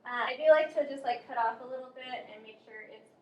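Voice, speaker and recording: A young woman as a guest cook, explaining a recipe step by step in a relaxed way with plenty of fillers. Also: fairly high pitch, fast talker. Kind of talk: casual cooking instruction. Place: outdoor cooking spot at a river park. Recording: echoey, faint noise.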